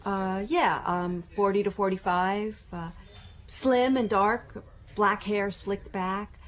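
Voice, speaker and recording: A woman's voice: mid-range voice, fairly even intonation, takes it slowly, natural voice. A woman playing a chatty, hesitant waitress in her thirties giving a statement in a radio drama. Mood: chatty, recalling. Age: 30s. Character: thirty-six-year-old working-class waitress giving a police statement.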